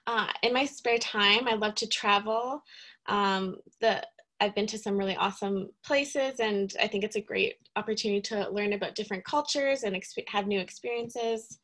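A woman's voice: mid-range voice, moderate pace, a bit bright. A young woman from Calgary who works in student recruitment and introduces herself earnestly, with many "um" fillers. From Calgary, Canada. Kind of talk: casual self-introduction.

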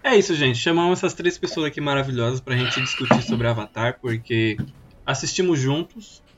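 A man co-hosting a podcast, lively and bossy with his friends in casual chat. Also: mid-range voice, fairly quick, highs cut off, very noisy. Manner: lively, bossy ringleader of the group. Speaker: podcast co-host.

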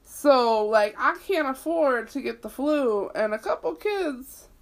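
A woman's voice: sits high, expressive, slow, a little hiss or hum. A woman in her twenties hosting her own podcast, rambling casually, swearing freely and leaning on "like," with a sniffly, itchy throat. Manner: chatty, swears freely, lots of "like". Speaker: podcast host.

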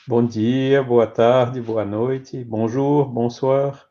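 A man with a Recife accent, French by birth, speaking calmly as a guest in an online talk. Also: mid-range voice, extremely slow. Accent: Recife Portuguese. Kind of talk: online conversation and prayer. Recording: muffled lo-fi audio.

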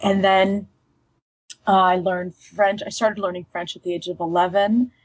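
A woman's voice: mid-range voice, little pitch movement, moderate pace, slightly rough, no room sound, rough recording. A woman from Russia, a native Russian speaker, chatting as an interview guest in a friendly, self-deprecating way. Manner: chatty and self-deprecating, with fillers and light laughs. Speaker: guest author. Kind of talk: casual interview conversation.

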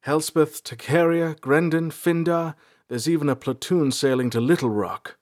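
A man's voice: fairly high pitch, normal speed, a little mellow, pitched above the performer's own voice, close to an everyday voice. A man voicing a shifty, confident male ranger with a severe, pointed manner in a fantasy audiobook. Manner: pointed, grave. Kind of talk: audiobook character dialogue.

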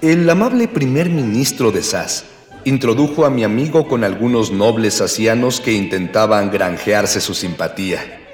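A man's voice: lowish voice, lively intonation, normal speed, natural voice. An actor playing a florid ex-policeman who narrates a radio serial in a grandiloquent, mock-archaic manner. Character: florid ex-policeman narrator, male companion of the hero. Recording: reverberant, music underneath.

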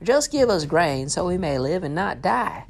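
Starving Egyptian crowd, high and begging, read by a man. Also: animated, normal speed, a little mellow, a little hiss or hum. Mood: pleading. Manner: pleading, high and drawn out.

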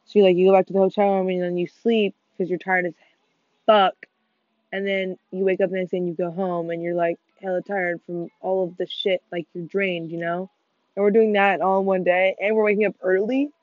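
A teenage girl co-hosting a casual gaming podcast with friends, talking fast, swearing and running herself down, with excited outbursts. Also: fairly low pitch, no room sound. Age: teens. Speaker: podcast co-host. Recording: muffled dull sound, faint music behind.

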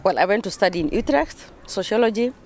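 A woman's voice: medium pitch, animated, quick pace, darkish tone. A woman in her forties with a Kenyan accent, chatting informally as an interview guest outdoors. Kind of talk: casual conversational interview. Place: outdoors on a bench in a busy city square. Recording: clear outdoor traffic and city noise. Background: traffic and city ambience.

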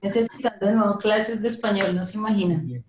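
A woman's voice: medium pitch, a little fast. A woman hosting a business podcast interview, talking casually and often restarting her phrases, who says her Spanish has slipped because of Portuguese. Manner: warm-hearted, repeats herself, restarts phrases. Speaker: podcast host. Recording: echoey room.

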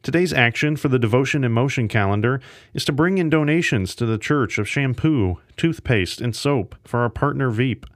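A man with a medium-pitched voice hosts a devotional podcast. He reads scripted announcements in a measured, welcoming manner. The sound is dry, with little room around it. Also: expressive, no room sound. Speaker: podcast host.

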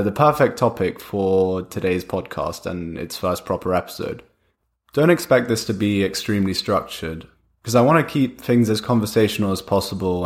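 A young man hosting his own podcast, talking through ideas in a relaxed, conversational way while he has a cold. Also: lowish voice. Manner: conversational, thinking aloud with fillers and restarts. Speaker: podcast host. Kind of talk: casual solo podcast monologue.

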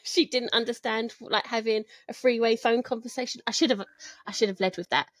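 A woman's voice: fairly high pitch, fairly even intonation, a little fast, fairly bright tone, no echo. A woman in her forties from Southwest London with a London English accent, a guest author chatting on a podcast in a jokey, self-deprecating way. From Southwest London, England. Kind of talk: casual podcast chat.

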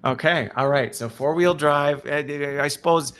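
A man's voice: on the high side, a little slow, a bit bright, clean tone. A man hosting a casual live video chat, upbeat and chatty, often saying okay and all right. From American Midwest. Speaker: host. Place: video call.

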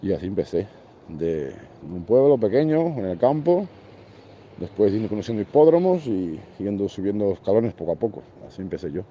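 Muffled, very dark-voiced Portuguese man. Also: sing-song, a little fast. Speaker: horse racing guest. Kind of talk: casual interview talk. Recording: muffled low-fidelity link with faint noise.

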